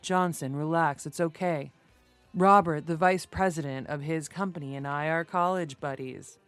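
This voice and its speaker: A woman's voice: low-pitched, moderate pace, clear, resonant tone, no echo, soft background music, close to an everyday voice. A woman narrator reading the lines of an easygoing, dry and reassuring young man in a story.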